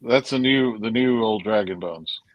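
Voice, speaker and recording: A retired man in his late fifties chatting easily on a whiskey livestream, telling stories in a dry, relaxed way. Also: on the low side, measured pace, no echo, dull audio. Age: 50s. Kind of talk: casual chat.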